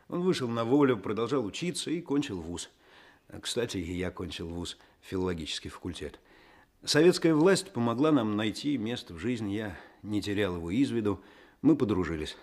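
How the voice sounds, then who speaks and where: An actor playing an amiable, earnest writer who was once a young detective, in a Soviet radio play. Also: male voice, fairly low pitch, darkish tone.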